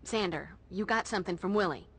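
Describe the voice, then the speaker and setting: A woman playing a brave, sardonic young vampire slayer in a video game, with a slightly husky voice. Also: fairly low pitch, a little fast, natural voice. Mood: wry. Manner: sardonic, quippy. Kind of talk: video game cutscene acting.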